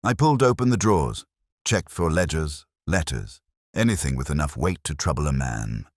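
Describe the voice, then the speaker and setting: A man's voice: very deep voice, lively intonation, moderate pace, no room sound. A man playing a wry, tough detective's assistant who narrates a mystery story in a dry, wisecracking manner. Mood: wry, probing. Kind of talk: first-person detective audiobook narration.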